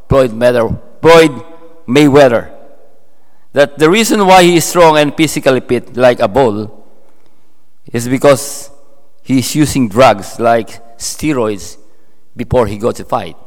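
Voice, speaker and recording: A man from the Philippines with a Filipino accent, preaching a sermon in a halting way, full of fillers and restarts. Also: medium pitch. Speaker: pastor. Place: church hall. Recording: long hall reverb.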